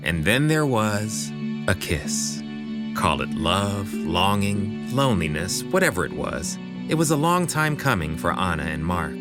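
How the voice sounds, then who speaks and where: A man's voice: lowish voice, big pitch swings, unhurried. A man playing the knowing, teasing storyteller of a romantic audio drama, narrating dramatically. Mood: wry, dramatic. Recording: plucked guitar music behind.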